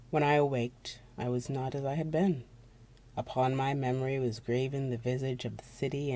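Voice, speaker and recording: Narrator of unclear sex, gothic horror dramatic reading, medium pitch, soft dark tone, theatrical, breathy near-whispers at times.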